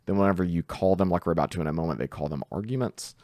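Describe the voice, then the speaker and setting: A man's voice: deep voice, rapid-fire, a little mellow, no echo, clean studio sound. A man giving a casual coding tutorial, explaining things step by step in an informal way. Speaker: programming tutor.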